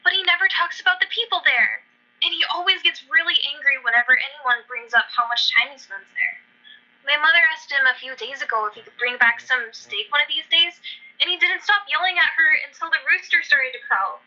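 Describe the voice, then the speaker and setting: An anxious little girl, acted by a woman in a radio play, with a very high, piercingly bright voice. She is naive and chatty, and the recording is slightly dull. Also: fairly quick. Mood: earnest, worried. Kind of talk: amateur radio play acting. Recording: dull lo-fi audio.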